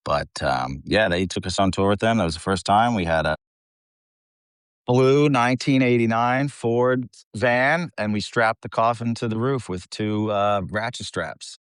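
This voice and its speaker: A man's voice: fairly low pitch, measured pace, clear, resonant tone, no room sound, studio quality. A man from the United States talking casually as a band vocalist being interviewed, in an informal, rambling, self-deprecating way.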